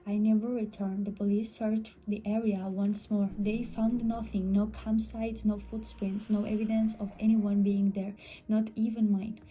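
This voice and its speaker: A woman's voice: mid-range voice, warm tone, a little hiss or hum, rough recording. A woman reading a scripted first-person horror story as a storyteller.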